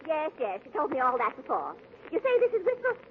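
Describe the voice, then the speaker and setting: Shrill, fluttery lady train passenger. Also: wildly swooping pitch, brisk. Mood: fluttery, inquisitive. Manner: fluttery, questioning. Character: fussy, excitable, scatterbrained lady train passenger. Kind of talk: 1940s radio comedy acting. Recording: tinny, very noisy old radio recording.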